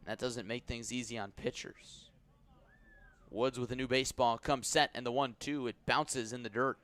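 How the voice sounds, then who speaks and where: A man calling a baseball game live as a radio play-by-play announcer, with measured, descriptive delivery that lifts when the action picks up. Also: medium pitch, steady tone, a bit bright, no room sound. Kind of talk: live sports commentary.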